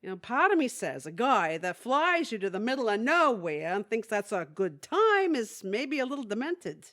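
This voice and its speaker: A high, very lively voice of an actress playing a wry, candid woman in a radio play. She is folksy and plain-spoken, a little hesitant, and recorded dry and close. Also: normal speed, no echo. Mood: hesitant, wistful. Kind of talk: audio theatre acting.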